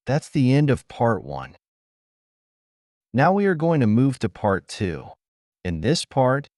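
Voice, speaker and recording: A man acting as examiner, reading out speaking-test questions and channel notes in a formal, measured way. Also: mid-range voice, darkish tone, no room sound, clean studio sound. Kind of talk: scripted exam questions.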